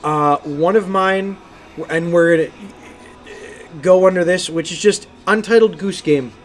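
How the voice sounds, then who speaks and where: A man's voice: high voice, measured pace, faint music behind. A man co-hosting a gaming podcast, chatting casually and enthusiastically. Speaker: podcast co-host and game streamer.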